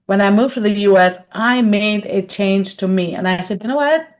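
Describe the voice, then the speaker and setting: A woman's voice: medium pitch, moderate pace. A middle-aged woman from India answering a question in a webinar, talking conversationally and acting out quoted voices over a video call. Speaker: webinar speaker and consultant.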